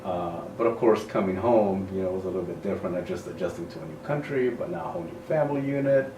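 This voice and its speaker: A man in his forties, born in El Salvador, a high school principal talking in a casual interview in a measured, thoughtful way that trails off softly at the ends of thoughts. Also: animated. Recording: echoey room, mic at a distance, faint noise.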